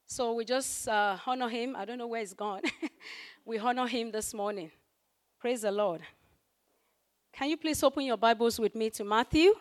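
Croaky-voiced woman preaching a sermon. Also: fairly high pitch, normal speed, long pauses. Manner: conversational, peppered with "you know" and fillers; rises in force for scripture and emphasis. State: croaky voice recovering from voice loss. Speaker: guest preacher.

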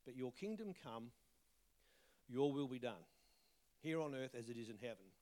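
A man preaches in a church auditorium at a mid pitch, chatty and self-deprecating, then he lifts sharply on the words he wants to land. A faint croak from a fading cold lingers in his voice. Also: expressive, moderate pace, darkish tone. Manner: conversational, joking asides, emphatic rises on key words. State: tail end of a cold, occasional croak. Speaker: visiting pastor. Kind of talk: sermon with prayer.

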